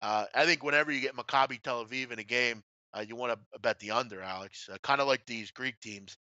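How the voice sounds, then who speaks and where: Bright, clear-voiced man hosting betting talk. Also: medium pitch, quick pace, dry recording. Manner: blunt, casually profane. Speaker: sports betting show host. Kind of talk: casual sports betting discussion. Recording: slightly dull, dry lo-fi audio.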